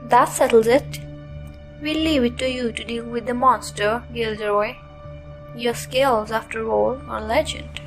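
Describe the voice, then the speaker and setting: A woman playing a stern, authoritative older school professor in an audio drama, speaking formally and gravely. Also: a little high, unhurried, music in the background, low-quality recording. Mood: crisp, resolved.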